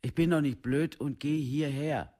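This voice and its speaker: Actor as husky, mellow, worn-down family man. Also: fairly high pitch, moderate pace, lightly acted. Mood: earnest, hurt. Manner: earnest colloquial recounting with quoted dialogue. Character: worn-down, earnest relative of an alcoholic. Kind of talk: documentary radio play monologue.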